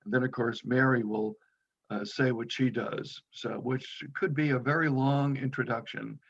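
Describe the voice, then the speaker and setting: A man hosting an online meeting who asks the participants to introduce themselves, speaking in a halting way with many fillers. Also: mid-range voice, steady tone, creaky. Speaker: meeting host. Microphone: video call. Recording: dull lo-fi audio.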